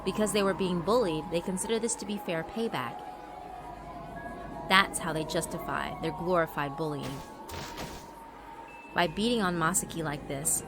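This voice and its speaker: A woman narrating a horror visual novel in a steady, measured way. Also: lowish voice.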